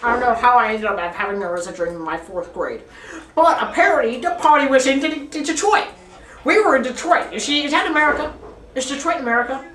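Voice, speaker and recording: A woman's voice: sits high, expressive, fast, a bit bright. A teenage girl telling a rambling, absurd improvised story in a classroom, often giggling, recorded by a camera set up across the room. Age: teens. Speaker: student storyteller. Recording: echoey classroom, distant camera.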